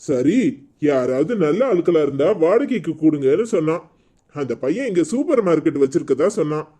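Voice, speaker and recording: A man's voice: fairly high pitch, sing-song, brisk, mellow. A man voicing a blunt, indignant older village man, scolding and exclaiming in a cartoon drama. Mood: matter-of-fact.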